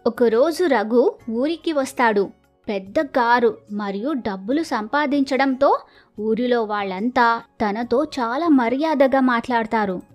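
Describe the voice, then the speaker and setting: A woman's voice: on the high side, unhurried, soft background music. A woman narrating a scripted village moral story as storyteller.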